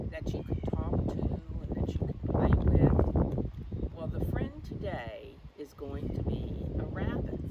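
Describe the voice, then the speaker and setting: A woman reading a picture book to preschoolers outdoors, pausing to explain and question in simple teacherly language. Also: low voice. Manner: simple teacherly phrasing, questions to young listeners. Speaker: storyteller for preschoolers. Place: outdoors in a backyard. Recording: clear outdoor background noise.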